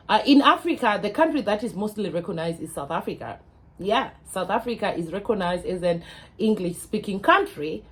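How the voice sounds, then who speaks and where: A Zimbabwean woman in her thirties, an English teacher, speaking earnestly to her viewers with a lot of fillers. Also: lowish voice. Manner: earnest, advising, with frequent fillers. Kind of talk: casual talk to camera.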